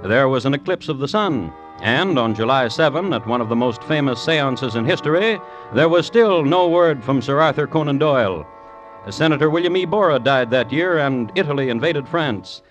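A man hosting and narrating a 1950s radio crime show in a wry, deliberate, literary scripted style. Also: mid-range voice, expressive. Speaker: host and narrator. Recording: old radio recording with music.

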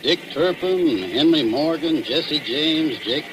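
An actor playing a wisecracking small-town assistant police chief, slangy and cocky, in 1940s radio drama style. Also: male voice, fairly high pitch, animated, slow pace. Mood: teasing. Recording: faintly noisy old radio recording.

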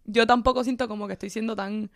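A woman's voice: on the high side, fairly even intonation, quick pace, no echo, clean studio sound. A young woman from Cuba living in Miami, speaking Spanish with a Cuban-Miami accent and dropping English words into her sentences in casual chat. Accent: Miami Cuban Spanish with English mixed in. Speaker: content creator.

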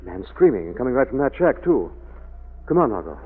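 Casting: man as urbane wealthy detective, mid-pitched with lively inflection, thin vintage radio recording. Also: brisk, lightly acted. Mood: intent, concerned. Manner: urbane, reassuring. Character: suave young wealthy gentleman sleuth, calm, amused. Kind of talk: 1940s radio drama acting. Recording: tinny noisy old radio recording.

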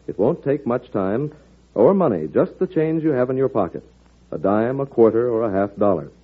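Lively husky American radio announcer. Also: male voice, mid-range voice, phone-quality sound. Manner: earnest, deliberate. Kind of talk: 1940s radio charity appeal, scripted. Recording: thin band-limited old radio recording.

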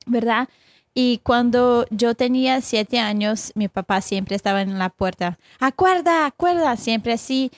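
A young woman from Brazil with a Brazilian Portuguese accent, a guest coach speaking Spanish as a second language, halting and searching for words. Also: a little high, dry recording, studio quality. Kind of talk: casual interview talk.

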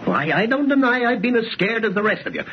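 A man's voice: high voice, huge pitch swings, quick pace, slight background noise. Blustering skeptical father, dull vintage radio. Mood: defensive, blustering. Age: middle-aged. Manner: brash, scoffing, emphatic. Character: stubborn, blustering skeptical father, scornful of superstition. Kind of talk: old-time radio horror acting.

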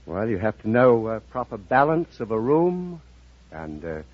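A man's voice: on the low side, big pitch swings, measured pace, dry recording. A man answering questions earnestly and a bit hesitantly as a quiz show contestant. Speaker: quiz contestant, interior decorator. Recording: dull old radio recording, faint noise.

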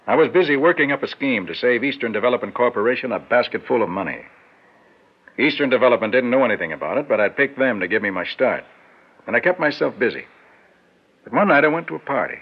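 A man's voice: medium pitch, expressive, quick pace, husky. An actor playing an ambitious, earnest businessman in an old-time radio drama, sometimes hesitant in his delivery. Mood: reflective. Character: ambitious, earnest businessman recalling an awkward youthful romance. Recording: muffled, noisy vintage radio recording.

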